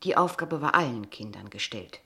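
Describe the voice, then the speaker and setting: An actress in a German radio play as a polite, probing kindergarten teacher, speaking in a measured, formal, pointed way. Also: female voice, very deep voice, animated, gravelly, bright, forceful tone, full character voice. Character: polite, insistent, probing kindergarten teacher, a young unmarried woman.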